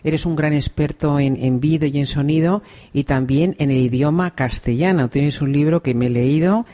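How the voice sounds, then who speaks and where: A woman from Spain with a Spain Spanish accent, hosting an interview podcast in a relaxed way, often adding soft affirmations. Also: sits low, normal speed. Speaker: podcast host.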